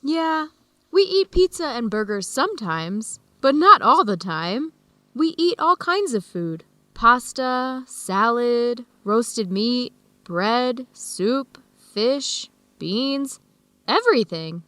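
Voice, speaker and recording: An actress playing a friendly, even-tempered American woman who explains things in a measured way in an educational radio drama. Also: fairly high pitch, dry recording, full character voice. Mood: easygoing. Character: friendly American woman hosting Ethiopian friends, easygoing, tolerant. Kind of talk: educational radio drama dialogue, clearly worded for learners.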